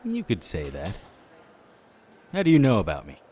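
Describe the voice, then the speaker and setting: Low-voiced, deadpan private eye. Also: male voice, moderate pace, slight background noise. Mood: businesslike. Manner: deadpan, wry. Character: tough, wry, cynical male private eye. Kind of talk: noir audio drama narration and dialogue.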